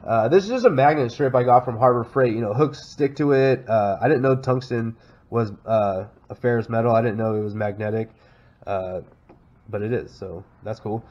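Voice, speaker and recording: A man's voice: medium pitch, moderate pace, a little mellow. Congested Texan man with rambling fillers. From Texas. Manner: rambling, many "uh" fillers and restarts. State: congested from a head cold. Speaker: kayak vlogger. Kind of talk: casual show-and-tell walkthrough. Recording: slightly dull sound with faint noise.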